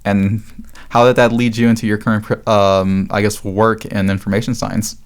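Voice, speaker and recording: A man's voice: on the low side, little pitch movement, moderate pace, clear, resonant tone. A man interviewing a guest on a podcast, asking long questions full of fillers.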